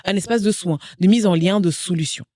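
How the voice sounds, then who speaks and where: A woman's voice: lowish voice, fairly quick, no echo, studio quality. A woman in her forties hosting a podcast interview, reading a poetic introduction before chatting with her guest. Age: 40s. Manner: reads a lyrical, poetic introduction, then chats warmly. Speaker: podcast host.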